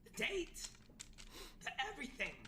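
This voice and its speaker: A hoarse woman on another stream, shouting excitedly at her viewers. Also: a little slow. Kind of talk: clip from another live stream. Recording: distant noisy stream audio.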